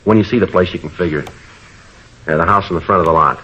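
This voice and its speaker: An actor playing a terse, polite, unflappable police sergeant in a 1950s radio drama, deadpan and clipped. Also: male voice, low-pitched, fast talker, husky, exaggerated voice. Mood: brisk, businesslike. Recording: dull old radio recording.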